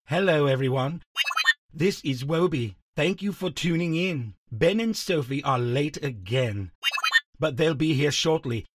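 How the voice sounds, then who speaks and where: A man's voice: a little high, animated, a little slow. Wobie, friendly kids' show character in heavy noise played by a man. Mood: welcoming. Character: Wobie, friendly host character of a children's serial. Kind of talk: children's podcast introduction. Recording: very noisy recording with clicks.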